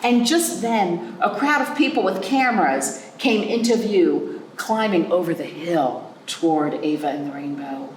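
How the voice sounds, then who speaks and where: Female picture-book reader for young kids. Slow, expressive, dramatic pauses. Echoey room, faint noise. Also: fairly low pitch. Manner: animated, measured storyteller delivery with dramatic pauses and stretched words. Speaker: librarian hosting a children's storytime. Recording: roomy echo, faint background noise.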